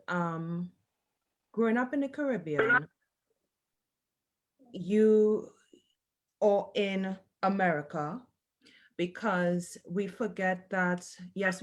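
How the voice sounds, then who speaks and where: Slow-speaking woman. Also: mid-range voice, poor audio. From Trinidad. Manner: hesitant, thoughtful, many filler pauses. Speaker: debate participant. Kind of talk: discussion argument.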